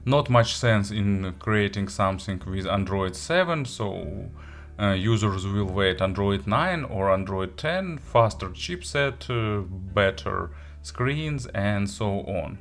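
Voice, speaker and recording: A man who is a non-native English speaker, giving an unscripted product review in a halting, hesitant way with frequent pauses. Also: fairly low pitch, soft background music. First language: not English. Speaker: audio gear reviewer.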